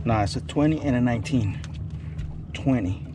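A man in his thirties talks to his viewers in a fairly low voice. His commentary is casual and full of repeated catchphrases. It is recorded outdoors on a small boat, with clear noise around him. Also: moderate pace. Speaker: fishing vlogger. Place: small open motorboat on a river. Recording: noisy open-air boat recording.

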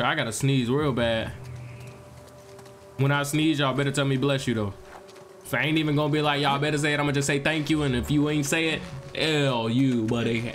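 A young man with a stuffed-up nose giving animated, joking gameplay commentary to his viewers. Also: medium pitch, slight background noise. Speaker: gaming streamer.

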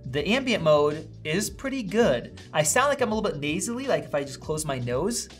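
A man's voice: fairly high pitch, sing-song, fairly quick, faint music behind. Tech vlogger, man in his thirties, upbeat and chatty, hands-on testing at a desk. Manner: upbeat, conversational, thinking aloud while testing. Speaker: tech reviewer. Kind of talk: casual product review. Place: small indoor studio room with a vent running.

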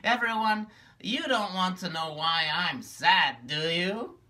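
A woman's voice: fairly low pitch, animated, slow pace, pushed and bright, full character voice. A woman voicing a sad, timid puppet girl in a children's puppet show, talking in a mopey, hesitant way.

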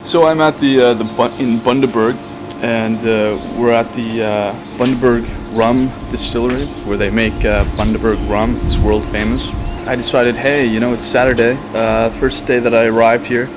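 A man's voice: medium pitch, moderate pace, music in the background. A young man in his twenties filming a casual travel diary outdoors on a building site, rambling with lots of ums, on a handheld camcorder. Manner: relaxed, rambling, many ums and uhs. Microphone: camcorder held at arm's length. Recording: loud wind and road noise.

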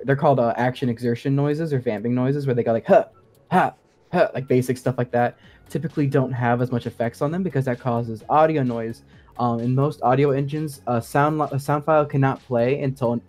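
A man's voice: medium pitch, steady tone, normal speed, darkish tone, faint music behind. A man speaking as a guest sound designer in a casual podcast discussion, explaining things informally and with enthusiasm.

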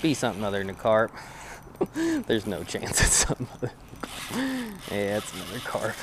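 A man's voice: fairly low pitch, huge pitch swings, moderate pace, noisy background. A young man casually talking to camera while fishing on a creek bank, rambling and self-deprecating, recorded on a head-mounted action camera. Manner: laid-back, rambling, self-deprecating, many "uh"s. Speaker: fishing vlogger. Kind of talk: casual outdoor commentary to camera. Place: outdoors on a creek bank under a bridge.

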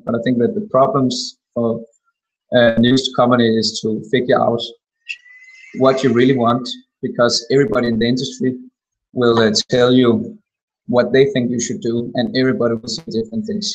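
A man's voice: medium pitch, moderate pace, dull audio. A young Danish man with a Danish accent, an animation studio co-founder answering questions in a casual live Q&A over a webcam, rambling with many fillers. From Denmark. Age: young adult. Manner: flat, rambling delivery with frequent fillers. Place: small indoor room, webcam video call.

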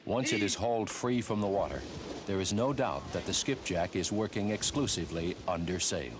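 A man narrating a documentary in a measured, scripted delivery. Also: on the low side, lively intonation, background noise.

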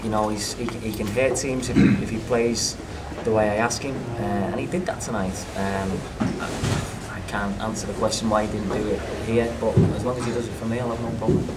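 A man speaking as a football manager in a post-match press interview, frank and halting with many restarts. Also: on the low side, fairly even intonation, recorded from a distance, very noisy. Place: small clubhouse room with reporters. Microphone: handheld phones and recorders held up by reporters.

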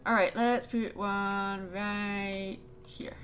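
A woman's voice: medium pitch, level tone, extremely slow, a bit bright, faint music behind. A young woman chatting casually and thinking aloud while playing a game. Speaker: let's play host. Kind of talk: casual gameplay commentary.

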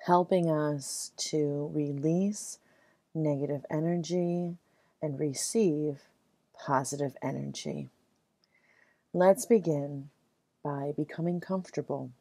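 A low-voiced woman leads a guided meditation very slowly, with soothing, gentle phrasing. She leaves long, measured pauses between short phrases. The recording is close and dry. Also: no echo.

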